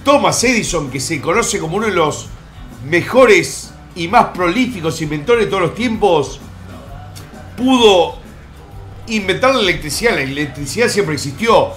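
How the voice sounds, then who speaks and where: Middle-aged man, high voice, crude rambling stream host, faint music behind. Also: expressive, moderate pace, fairly bright tone. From born in the United States. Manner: vulgar, joking, rambling asides. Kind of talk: crude comic countdown commentary. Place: home room in Miami.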